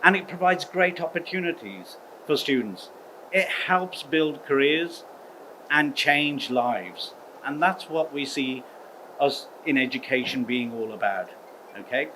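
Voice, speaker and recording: A middle-aged man from the UK, a university head of engineering, giving a measured formal address to students. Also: on the high side, pushed and bright, slight background noise, low-quality recording. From United Kingdom. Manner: measured, uses "okay?" as a check-in.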